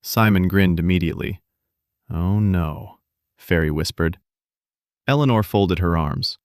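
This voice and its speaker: A man reading a story aloud in a calm, measured narration. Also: sits low, dry recording, clean studio sound. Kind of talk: scripted audiobook narration.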